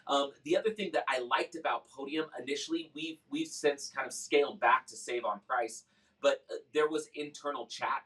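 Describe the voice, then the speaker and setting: Bright-voiced man giving a casual review. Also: mid-range voice, moderate pace, distant mic, low-quality recording. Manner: conversational, explanatory. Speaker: software customer giving a review. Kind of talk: casual product testimonial.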